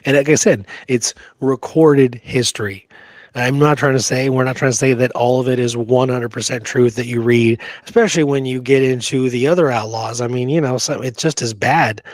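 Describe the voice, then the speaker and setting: A man with a mid-pitched voice talks history as a podcast co-host. He is informal and emphatic, arguing his points in a conversational way, and the sound is dry and close. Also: fairly even intonation, moderate pace, dry recording. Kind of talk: casual history discussion.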